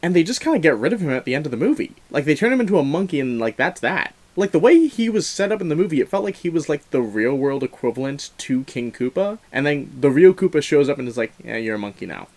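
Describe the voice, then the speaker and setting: A man giving a conversational film review as host, animated and emphatic, with informal, slangy asides. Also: on the high side, fast, few pauses.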